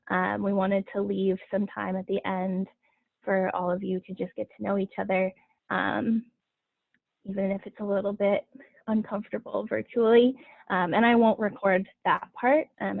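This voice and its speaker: A young woman from Colorado moderating a video-call panel, explaining the plan in an organized, even way. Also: medium pitch, vocal fry, dry recording. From Colorado, USA. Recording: tinny phone-like video call audio.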